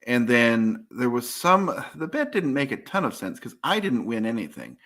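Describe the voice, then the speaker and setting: American man, medium pitch, bright crisp-edged tone, wry, casual co-host. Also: wildly swooping pitch, moderate pace. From United States. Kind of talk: casual board game discussion.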